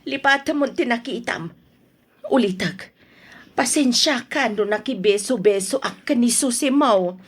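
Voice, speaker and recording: A woman's voice: a little high, animated, measured pace, slightly rough. A woman playing an exasperated middle-aged wife in an Ilocano radio drama, speaking with an Ilocano accent in a nagging, exclamatory manner. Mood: placating. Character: exasperated middle-aged wife scolding, then placating her husband.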